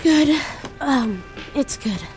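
An anxious woman, slow and hesitant. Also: high-pitched, lively intonation, music in the background, exaggerated voice. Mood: awkward, guarded. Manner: hesitant, muttering self-reassurance. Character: anxious grieving woman, shaky, talking herself through panic. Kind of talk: audio drama acting.

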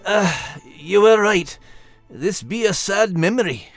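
An actor voicing a male Scottish terrier dog, gruff but tender and teasing, with a Scottish accent and folksy dialect. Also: medium pitch, expressive, a little slow, dry recording, soft background music. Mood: wistful. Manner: folksy, warm dialect talk. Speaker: podcast co-host character. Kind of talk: scripted character banter.

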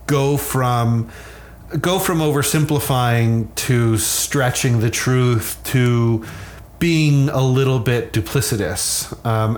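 A man speaking conversationally as an expert guest, explaining science in a measured, qualifying way. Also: mid-range voice. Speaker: sleep scientist, interview guest.

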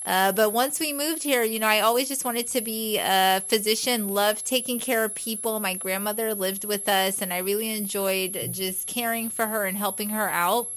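A woman originally from Guyana, talking as a guest on a television talk show. She tells her story in a bright, chatty, upbeat way, easy and conversational. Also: mid-range voice, moderate pace, hardly any pauses. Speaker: guest physician. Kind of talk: casual talk show interview.

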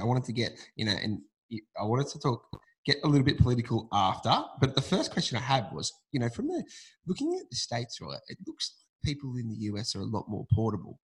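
Husky man hosting a podcast. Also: medium pitch, low-quality recording. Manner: chatty, rambling questions with many restarts. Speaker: podcast host. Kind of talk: casual interview talk.

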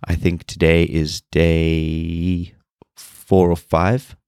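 A man with a very deep voice, stuffed up and nasal from a cold, talking in a flat, low-energy way. He rambles and restarts his sentences as he hosts his own podcast. The recording is dry and close, with no room sound. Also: very slow, clear, resonant tone, no room sound. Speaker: podcast host. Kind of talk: solo podcast talk.

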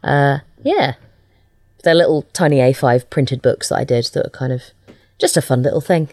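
A woman's voice: very deep voice, moderate pace, a little mellow, dry recording, studio quality. A woman chatting informally as an interview guest, with a self-deprecating way of talking. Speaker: writer and illustrator, interview guest. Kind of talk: casual interview chat.

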